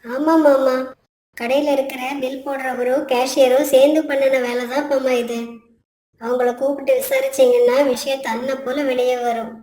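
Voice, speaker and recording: Cartoon family woman female actor: caring and outspoken, high-pitched, echoey room. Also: flat delivery, moderate pace, dark tone, close to an everyday voice. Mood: confident. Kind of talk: cartoon moral story acting.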